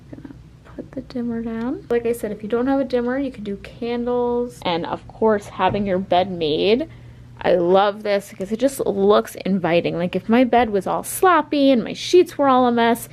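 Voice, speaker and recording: Young woman chatting to her viewers at home, easy and relaxed, says like a lot, mid pitch, faint hum behind. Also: rough recording. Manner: chatty, relaxed, says "like" and "you guys" often. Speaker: lifestyle vlogger. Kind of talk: casual chat to camera, showing a routine. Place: her home, bedroom and kitchen.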